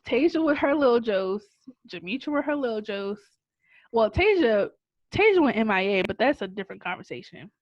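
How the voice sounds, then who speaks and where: Deadpan young woman, dull dry audio. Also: on the high side, normal speed, no room sound. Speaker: podcast co-host. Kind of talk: casual storytelling chat.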